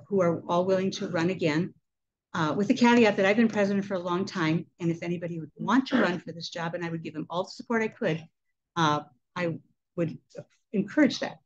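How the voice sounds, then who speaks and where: A woman's voice: fairly low pitch, brisk, highs cut off, slight background noise. A woman in her sixties, a club president holding a meeting, talking casually with a handheld mic, chatty with laughing asides. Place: indoor meeting room. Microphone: handheld mic, webcam from a distance.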